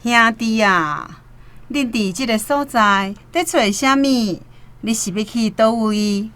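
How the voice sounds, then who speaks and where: A woman's voice: on the high side, takes it slowly, a bit bright, a little hiss or hum. A woman playing a sly old roadside guide who coaxes and persuades, in a Taiwanese radio drama. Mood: inviting. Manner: coaxing, persuasive.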